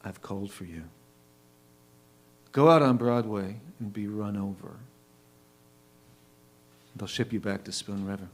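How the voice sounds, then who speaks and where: A man giving a deliberate, theatrical recitation of poetry as a reader at a readers' theatre. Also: fairly low pitch, poor audio. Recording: noticeable hum and background noise.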